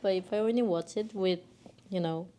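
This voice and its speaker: A woman's voice: mid-range voice, normal speed, noisy background. Hesitant young woman. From Korea. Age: young adult. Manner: thoughtful and hesitant, trails off, soft laughs. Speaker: student reacting to clips. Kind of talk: casual reaction chat.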